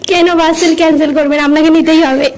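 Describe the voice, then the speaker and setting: Young woman, high voice, quick talker, teasing prank caller, pushy, music behind. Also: level tone. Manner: insistent, teasing. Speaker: prank caller posing as a parcel courier. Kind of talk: teasing prank phone call. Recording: loud background music. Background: loud music.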